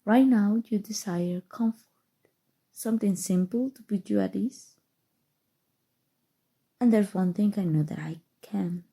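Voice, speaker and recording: A woman playing a possessive evil sorceress in an ASMR roleplay, speaking softly and tenderly with a breathy voice that often drops to whispers. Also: medium pitch. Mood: soothing, seductive. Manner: slow, soothing, deliberate, sinister affection. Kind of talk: ASMR roleplay, intimate scripted monologue.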